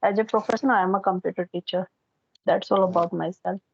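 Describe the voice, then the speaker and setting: A woman's voice: mid-range voice, steady tone, fast, vocal fry, husky, muffled recording. Hindi-speaking woman from Haryana, encouraging tone. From Haryana, India. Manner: brief, encouraging, advising. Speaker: computer teacher. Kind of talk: video call conversation practice. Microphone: video call.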